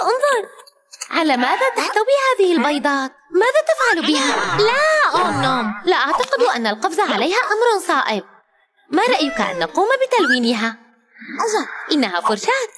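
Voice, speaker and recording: A woman's voice: very high-pitched, big pitch swings, fast, crowd noise. A woman narrating a children's educational cartoon, exclaiming and talking as if to a small child, with some noise in the recording. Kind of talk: scripted children's narration, teaching vocabulary.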